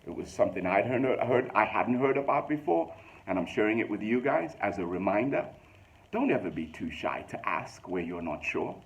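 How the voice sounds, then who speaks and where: A man with a Zambian accent, talking reflectively to his listeners in a casual, thoughtful way, with many ums and slow emphatic stretches. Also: on the low side, sing-song.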